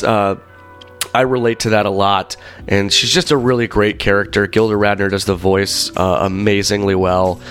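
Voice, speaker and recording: A man hosting a podcast in casual chat, talking with many fillers and a slightly rough voice, congested from being sick. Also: lowish voice. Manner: rambling, animated storyteller with many fillers. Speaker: podcast host.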